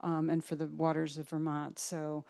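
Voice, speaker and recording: A woman moderator introduces the final speaker, pausing often on ums. Her voice is smooth and resonant and sits slightly low. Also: completely flat delivery. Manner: warm, hesitant, frequent ums. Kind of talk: event introduction.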